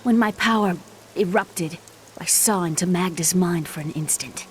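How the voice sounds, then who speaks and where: A woman's voice: fairly low pitch, normal speed, slight background noise, natural voice. A woman playing an earnest young heroine. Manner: earnest, worried. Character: earnest young woman, grieving niece with hidden power.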